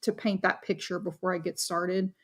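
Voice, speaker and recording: A woman's voice: on the low side, fairly even intonation, moderate pace, breathy edge. A woman from Dallas, Texas, a paranormal investigator, chatting bubbly and casually with lots of fillers in an interview.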